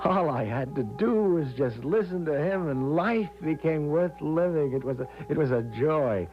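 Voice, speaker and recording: A man's voice: on the high side, lively intonation, moderate pace, lightly acted. An actor playing a timid, naive, anxious young American man in a 1970s radio drama, stammering and hesitant as he talks and narrates. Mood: dreamy, elated. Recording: dull lo-fi 1970s radio broadcast, music behind.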